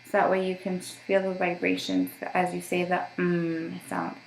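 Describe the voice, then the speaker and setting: A woman's voice: fairly low pitch, little pitch movement, moderate pace, gravelly, reverberant, a little hiss or hum, rough recording. Patient woman coaching voice exercises. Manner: patient, step-by-step instructions, frequent "okay?" check-ins. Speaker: voice therapist. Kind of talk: guided voice therapy session.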